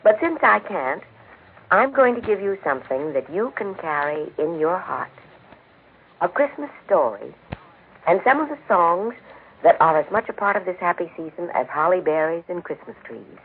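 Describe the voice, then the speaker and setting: Husky 1940s American radio hostess, thin old recording. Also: female voice, fairly low pitch, phone-quality sound. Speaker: radio program host. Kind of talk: 1940s scripted radio talk.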